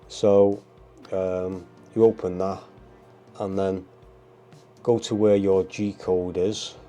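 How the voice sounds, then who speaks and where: A man's voice: low-pitched, level tone, slow pace, dark tone, faint music behind. A man with a cold and a stuffy, bunged-up voice, hosting his hobby channel in a rambling casual how-to with lots of ums and uhs. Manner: rambling, full of ums and uhs, keeps saying guys.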